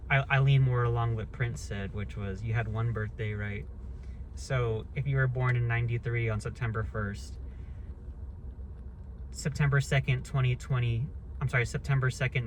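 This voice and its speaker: A man in his early thirties holds forth in a loose, unscripted monologue, blunt and quick to swear as his thoughts wander and restart. His voice sits in an ordinary middle pitch, and a faint hiss runs under the recording. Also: fairly even intonation, low-quality recording. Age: 30s. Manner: blunt, rambling, swears freely. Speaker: vlogger. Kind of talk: casual monologue rant.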